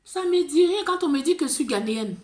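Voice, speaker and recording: A woman's voice: sits high, lively intonation, a little fast, a little mellow, reverberant. A woman with an Ivorian French accent gives an impassioned monologue, pleading, repeating herself and restarting words. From Ivory Coast. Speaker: video blogger.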